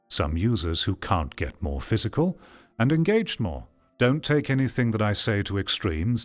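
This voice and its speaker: A man giving opinionated commentary on an article, reading bits aloud and reacting in a sarcastic, theatrical way. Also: low voice. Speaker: host of a commentary channel on VR games.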